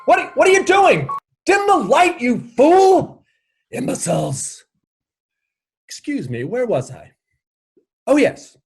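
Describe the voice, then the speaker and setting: A man's voice: very high-pitched, huge pitch swings, slow, long pauses, husky, heavily acted. Man playing a sinister, swooping radio announcer. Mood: irritated outburst. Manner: pompous, ominous, melodramatic. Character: theatrical, irritable, light-hating sinister male radio announcer. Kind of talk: 1940s radio thriller introduction. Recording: music under the voice.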